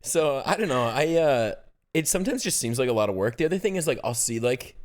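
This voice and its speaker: A man from the American Midwest co-hosting a casual podcast chat, relaxed and rambling with many fillers. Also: mid-range voice, quick pace. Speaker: podcast co-host.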